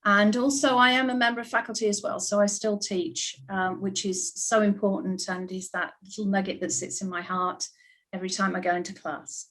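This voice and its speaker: A woman's voice: mid-range voice, fairly even intonation, normal speed, a bit bright. A middle-aged woman from the UK with a British accent, introducing herself on a video call in a chatty way with many ums. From United Kingdom. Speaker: ballet school centre principal.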